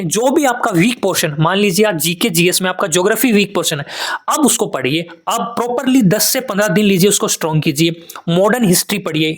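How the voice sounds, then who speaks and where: A man's voice: high voice, a bit bright. A man with an Indian accent giving earnest, instructive advice to students as an exam coach, often repeating points in lists for emphasis. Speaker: exam preparation coach and channel host. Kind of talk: advice talk to students, mixing Hindi with English.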